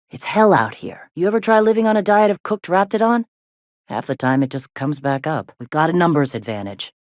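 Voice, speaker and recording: A woman's voice: low-pitched, dry recording. A tough frontier rebel medic for a video game, recorded close and dry. She is plain-spoken and sardonic, an earthy, swearing second-in-command who sounds worn down by the fight. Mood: blunt, guarded. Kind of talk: video game dialogue acting.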